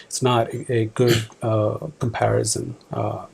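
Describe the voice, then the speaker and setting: A man in his forties from Pakistan, a department manager answering interview questions in an office, halting with many fillers and restarts. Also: fairly low pitch, little pitch movement, creaky, darkish tone, husky.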